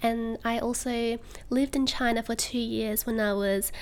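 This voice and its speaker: Young female podcast guest from Australia, bubbly, laughs a lot, slightly high voice. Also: fairly even intonation, a little slow. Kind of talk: casual interview chat.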